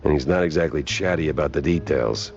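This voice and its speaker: A very low, world-weary gumshoe from a noir cartoon game. He talks in a wry, laconic drawl, hardboiled 1930s style. The sound is dull and lo-fi, with music behind him. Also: a little fast, darkish tone. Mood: dry, weary. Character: world-weary wisecracking male noir private detective, cartoon mouse.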